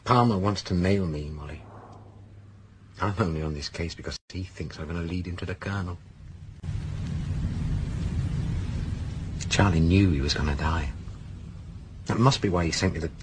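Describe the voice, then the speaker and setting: Very deep, weary, brooding policeman played by a man. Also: noisy background, close to an everyday voice. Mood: troubled, reflective. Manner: brooding, halting, murmured delivery. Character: weary, self-doubting male policeman, brooding and suspicious. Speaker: actor in a radio crime drama. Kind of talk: naturalistic radio drama dialogue.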